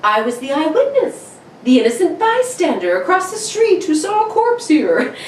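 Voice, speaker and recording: A woman's voice: high voice, big pitch swings, normal speed. A woman acting a vengeful, slyly genial male stage actor in an old-time radio suspense play, silky and theatrical. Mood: sly amusement. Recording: roomy echo, distant mic, faint noise.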